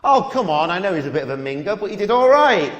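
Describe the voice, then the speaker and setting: A male compere banters and announces from the stage of a reverberant hall. His voice sits high and swings widely in pitch. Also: sing-song, quick pace, fairly bright tone. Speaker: comedy show compere. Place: live comedy venue. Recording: echoey hall with long reverb.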